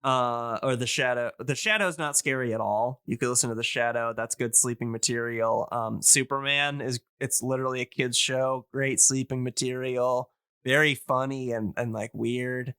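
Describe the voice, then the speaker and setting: A man with a bright, forward voice talks casually as a podcast guest. He rambles and hedges his way along, and the sound is very dry and free of room echo. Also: mid-range voice, steady tone, normal speed, clean tone. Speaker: podcast guest and radio drama hobbyist.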